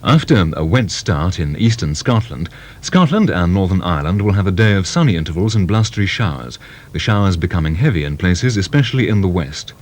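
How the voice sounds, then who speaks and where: Deep-voiced British male announcer. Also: animated, fairly quick, a little mellow. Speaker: continuity announcer. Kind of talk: scripted broadcast announcing.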